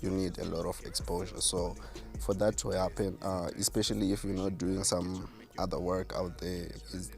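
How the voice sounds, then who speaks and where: A man with a deep voice, a self-assured artist answering interview questions. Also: level tone, normal speed, gravelly, a little mellow, soft background music. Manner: self-assured, boastful about his skill. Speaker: rapper and artist, guest.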